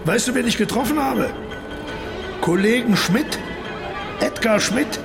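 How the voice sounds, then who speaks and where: A man's voice: extremely high pitch, a little slow, creaky, music in the background, heavily acted. An actor playing an exuberant middle-aged male writer in a radio drama, boyish and expansive in manner. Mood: breezy, excited. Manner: animated, expansive, declamatory.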